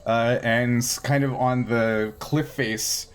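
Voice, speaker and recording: A man improvising narration as a tabletop game master, piecing descriptions together haltingly. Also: medium pitch, steady tone, clear, resonant tone.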